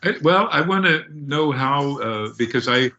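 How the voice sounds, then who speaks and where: A man's voice: fairly high pitch, animated, measured pace, pushed and bright, dull audio. A man co-hosting a podcast, asking long, rambling questions. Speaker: podcast co-host. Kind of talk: interview questions.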